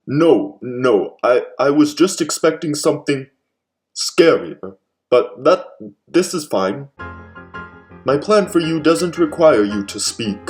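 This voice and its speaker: A man's voice: a little high, lively intonation, slow pace, a little mellow, soft background music. A man playing a megalomaniac, bossy mad scientist villain with theatrical delivery, in the style of old comedic radio mystery. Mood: exasperated. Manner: theatrical, exclaiming, cackling.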